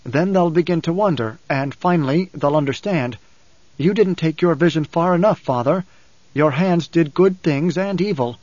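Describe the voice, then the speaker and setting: A man voicing a grave, resolute young son, a coolly prophetic desert mystic in an audiobook, measured and unyielding. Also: on the high side, darkish tone, close to an everyday voice. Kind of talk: audiobook character acting. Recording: dull cassette audiobook, roomy echo.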